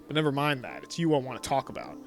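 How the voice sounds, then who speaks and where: A man's voice: mid-range voice, very animated, fast talker, faint music behind. A man reading as a mysterious scheming caller. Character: mysterious caller plotting against a gang.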